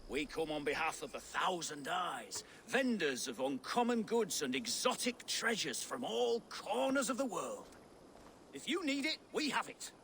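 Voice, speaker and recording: A man's voice: a little high, big pitch swings, unhurried, gravelly, fairly bright tone, a little hiss or hum, heavily acted. A man playing a grandiose, exuberant merchant in a video game, with a florid, theatrical salesman's manner. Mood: welcoming, boastful. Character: exuberant, grandiose exotic-goods merchant heralding his child master. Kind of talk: video game character acting.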